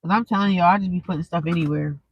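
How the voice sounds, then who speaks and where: A woman's voice: lowish voice, racing, muffled recording, background noise. A young woman, stuffed up and congested, chatting casually with viewers on a live stream through a cheap earphone mic. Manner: rambling, chatty, talks straight to viewers.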